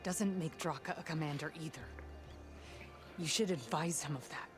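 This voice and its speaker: A woman's voice: low-pitched, moderate pace, over music, lightly acted. An actress playing a stern female desert clan commander who speaks curtly and will not bend, in video game dialogue. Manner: curt, unbending.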